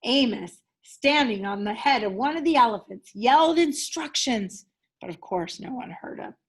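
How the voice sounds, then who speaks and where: A woman's voice: a little high, lively intonation, moderate pace, husky. A woman teacher reading a children's story aloud expressively, leaning dramatically on key words.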